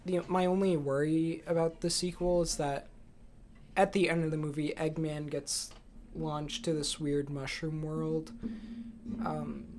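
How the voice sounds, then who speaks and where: A man's voice: on the high side, little pitch movement, unhurried, darkish tone. Young man chatting casually over background noise. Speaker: podcast host. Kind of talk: casual movie chat. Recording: clear background room noise.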